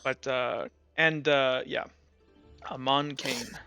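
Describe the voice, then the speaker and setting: A man working as game master, narrating and explaining casually in a halting, informal way. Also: mid-range voice, steady tone, pushed and bright, clean tone, no echo. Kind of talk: casual tabletop roleplay narration. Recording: dull, lo-fi with music behind. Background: music.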